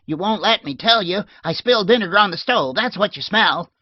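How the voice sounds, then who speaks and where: A flustered servant woman, as a male narrator puts her on in a storybook reading, shouting her defence in a raised, strained voice. The sound is dry and slightly muffled. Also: high voice, lively intonation, takes it slowly, no echo, pitched above the performer's own voice, full character voice. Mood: defensive yelling. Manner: yelling, defensive. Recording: dull, dry lo-fi recording.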